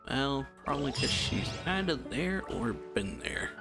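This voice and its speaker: A woman giving casual, low-key let's play commentary in brief reactions as a game runs. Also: sing-song, measured pace. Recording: loud game music over commentary.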